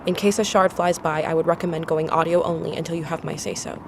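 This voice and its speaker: An actress playing a cautious, curious woman explorer recording a field log in an audio drama, speaking in a measured, observational way. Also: deep voice, fairly even intonation, noisy background. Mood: cautious, deliberate. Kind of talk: found-footage audio drama acting.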